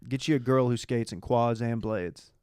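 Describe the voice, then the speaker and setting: A man's voice: mid-range voice, moderate pace, darkish tone, clear, resonant tone. A young man co-hosting a podcast in casual, slangy, joking conversation. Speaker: podcast co-host. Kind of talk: casual banter, reality TV recap.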